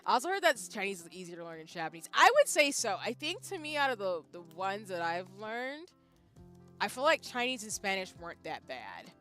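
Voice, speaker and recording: A woman's voice: medium pitch, big pitch swings, a little fast, fairly bright tone, faint music behind. A young woman streamer chatting casually with her viewers, thinking aloud with frequent restarts. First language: English. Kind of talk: casual chat reading viewer comments.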